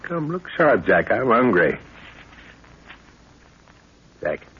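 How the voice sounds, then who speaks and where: An actor playing an older, confident jungle explorer, hearty, brisk and fatherly, in a 1930s radio adventure drama. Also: medium pitch, big pitch swings. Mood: hearty, assured. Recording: dull, hissy 1930s radio recording.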